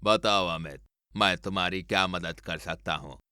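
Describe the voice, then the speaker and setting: Man playing a low-voiced stern village headmaster. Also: moderate pace, sharp tone, no echo, clean studio sound. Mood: calm, helpful. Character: gruff, authoritative village headmaster, older man.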